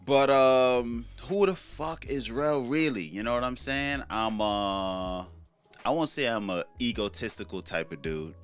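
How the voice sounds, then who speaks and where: A man's voice: medium pitch, measured pace, smooth, soft background music. A young man from Trinidad hosting a solo podcast, talking in a laid-back, rambling way with many fillers and casual swearing. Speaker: podcast host.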